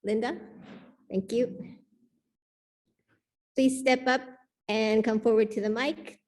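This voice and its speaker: A woman's voice: on the high side, fairly even intonation, slow pace, a little mellow. A woman reading and making announcements as the worship leader of a church service, speaking into a pulpit microphone. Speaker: worship leader welcoming the congregation.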